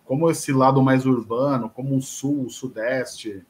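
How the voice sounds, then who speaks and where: A man's voice: mid-range voice, steady tone, a little slow, darkish tone, a little hiss or hum. A middle-aged man hosting an interview over a video call from a room at home, asking long questions and restarting his words as he goes. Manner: hesitant, restarts mid-question. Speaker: interview host. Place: home room with bookshelves, indoors.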